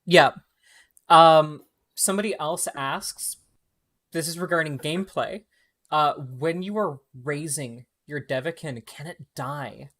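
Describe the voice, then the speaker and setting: A young man with a Canadian accent, slightly higher-pitched than most men, hosts a relaxed live Q&A from his home. He talks in an upbeat, chatty, jokey way over a video call. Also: takes it slowly. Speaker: host reading community questions. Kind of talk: casual livestream Q&A. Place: home room with gaming chair.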